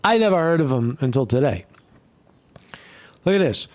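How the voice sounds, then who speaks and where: A man's voice: medium pitch, sing-song, normal speed, dry recording. A man giving rambling, profane commentary while watching videos, full of fillers and restarts. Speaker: commentary streamer.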